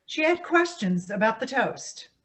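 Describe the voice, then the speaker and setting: A woman's voice: on the high side, big pitch swings, a little slow, a bit bright. A woman playing a defensive, evasive older grandmother, once an actress, in a staged play reading.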